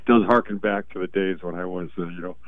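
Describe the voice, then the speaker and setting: A man with a very low, gravelly voice, hoarse and congested, calling in as a guest. He speaks haltingly and often drops to a near murmur. The line is dry and thin, like a telephone. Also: steady tone, no echo. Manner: slow and halting, often trailing off quietly. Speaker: guest expert on call-in. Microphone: phone call. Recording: tinny phone line, dry.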